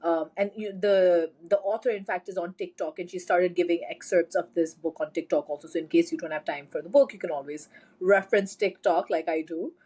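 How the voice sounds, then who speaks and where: A young woman from India co-hosting a podcast, telling stories in an animated, chatty way. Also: fairly low pitch, fairly quick, husky. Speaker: podcast co-host. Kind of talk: casual chat.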